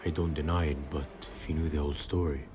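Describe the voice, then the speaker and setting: A man's voice: extremely low pitch, fairly even intonation, fast talker, warm tone. A man playing a bitter, vengeful escaped prisoner wizard in an audio drama, brooding and menacing in a theatrical way. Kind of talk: fan audio drama acting.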